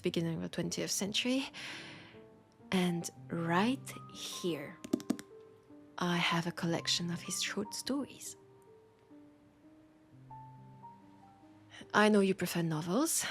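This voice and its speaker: Romantic bookseller for an intimate audio roleplay, played by a woman: French accent, unhurried breathy delivery, tender and teasing, music underneath. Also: on the low side. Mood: warm, playful. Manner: slow, breathy, whispery, tender and playful. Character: romantic, teasing female bookseller fond of a vampire customer. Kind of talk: soft intimate roleplay audio with poetic reading. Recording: music behind the voice.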